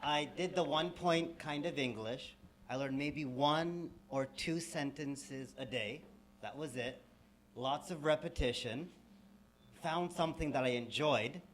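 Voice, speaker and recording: A man in the audience enthusiastically sharing his language-learning experience, sometimes dropping to quiet asides. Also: a little high, measured pace, a little hiss or hum. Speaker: audience member, language program founder. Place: event hall.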